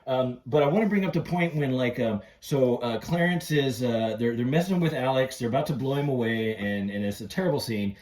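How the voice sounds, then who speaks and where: A man co-hosting a casual fan podcast about a film, effusive and stammering with excitement, often breaking into giggly laughter. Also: medium pitch, fairly quick, hardly any pauses, poor audio. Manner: repetitive, stammering excited delivery. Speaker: podcast host.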